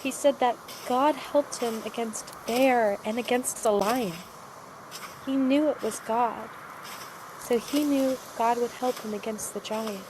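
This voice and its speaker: A woman plays a young desert girl in a cinematic audio drama. Her voice is very high, questioning and hesitant, with an anxious, breathless edge, set against clearly audible ambient noise. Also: unhurried, lightly acted. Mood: curious, wondering.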